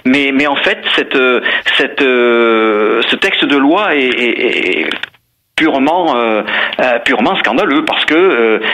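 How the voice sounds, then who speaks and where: A man from Bordeaux, a campaigning guest on a radio interview, who talks in a halting way and repeats words while he searches for them. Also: fairly high pitch, pushed and bright. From France, Bordeaux. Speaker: anti-bullfighting campaigner guest.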